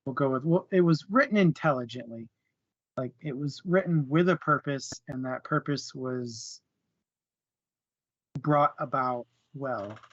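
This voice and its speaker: A man's voice: mid-range voice, unhurried, dry recording, muffled recording. A man casually discussing a book as a co-host, hesitant and searching for words, with small chuckles. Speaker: book club podcast co-host.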